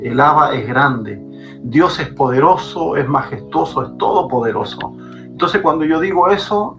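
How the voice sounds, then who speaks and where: A man, a pastor, speaking fervently as a guest on a podcast and repeating phrases for emphasis. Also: on the high side. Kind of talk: preaching guest in a podcast conversation. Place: Chile.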